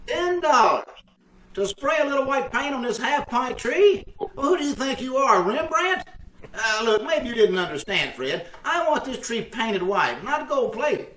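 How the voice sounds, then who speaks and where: Man acting as indignant tightwad husband, high-pitched, old-time radio comedy, blustery and sarcastic, roomy echo. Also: very animated, a little slow, fairly bright tone, full character voice. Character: blustering, penny-pinching husband, indignant and argumentative. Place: indoor room, live video-call performance. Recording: echoey room on video call.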